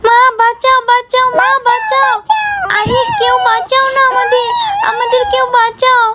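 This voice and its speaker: A woman's voice: extremely high pitch, big pitch swings, moderate pace, sharp tone, soft background music, exaggerated voice. A woman voicing a frightened young child doll in a children's story, urgent, exclamatory and pleading. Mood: panicked, pleading. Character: frightened young village child doll screaming for rescue from a mummy.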